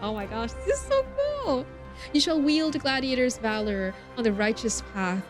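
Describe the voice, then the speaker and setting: A woman's voice: sits high, big pitch swings, moderate pace. A woman streaming a game, reading story text aloud expressively and mixing in casual, chatty asides. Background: game music.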